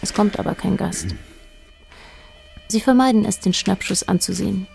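An actress playing a detached, observant narrator in a radio drama, speaking in a measured, matter-of-fact way. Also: female voice, medium pitch, gravelly, darkish tone, slightly rough, faint music behind, full character voice. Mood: sober.

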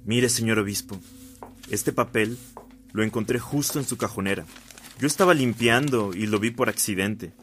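An actor playing a spiteful, insistent priest and sacristan in a radio drama. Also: male voice, on the low side, moderate pace, a bit bright, slight background noise, close to an everyday voice. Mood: accusing. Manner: insistent, accusatory. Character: spiteful, insinuating male priest and cathedral sacristan.

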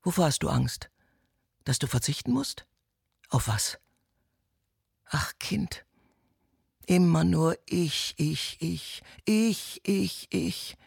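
A woman's voice: on the low side, animated, takes it slowly, creaky, long pauses, darkish tone, husky, dry recording, studio quality, heavily acted. An actress in a radio play playing a haughty, older aristocratic mother-in-law who speaks formally and imperiously, defending dynasty and tradition. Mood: scornful, imperious.

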